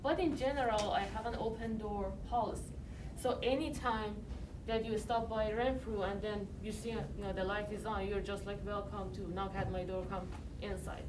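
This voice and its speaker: A woman's voice: medium pitch, fairly even intonation, normal speed. A woman from Iran with an Iranian accent, giving a chemistry lecture in a university classroom in a loose, conversational way full of "you know" and "like". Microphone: iPad recording from a distance. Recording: distant mic, noisy classroom.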